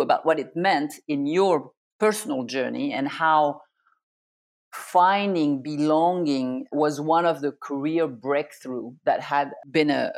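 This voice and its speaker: A woman hosting an interview, asking long, winding questions in a conversational way. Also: low voice.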